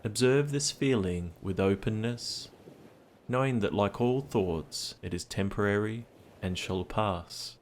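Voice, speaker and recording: A man narrating a guided meditation in a gentle, soothing, unhurried manner. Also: on the low side. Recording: clear steady background ambience noise, ocean sounds. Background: ocean sounds.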